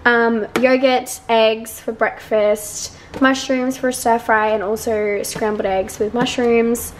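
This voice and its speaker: Young woman, hoarse, bubbly vlog chatter, slightly high voice, noisy background. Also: little pitch movement, takes it slowly. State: hoarse, lost voice. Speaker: vlogger. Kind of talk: casual vlog chat. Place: car and busy restaurant. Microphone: camera held at arm's length. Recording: loud crowd and road noise.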